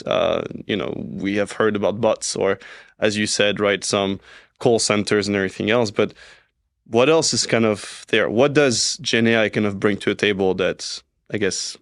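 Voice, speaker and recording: A man hosts a podcast with a lower than usual voice, recorded close and dry with no room sound. He asks long, loose questions and keeps checking in with "right?" and "you know". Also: dry recording. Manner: conversational, hedging, frequent "right?" and "you know". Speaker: podcast host. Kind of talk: casual podcast interview.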